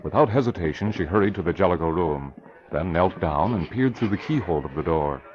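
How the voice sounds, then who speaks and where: A man's voice: low voice, animated, fairly quick. A man narrating a scripted 1940s radio drama in dramatic style. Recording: muffled, noisy, crackly vintage radio recording.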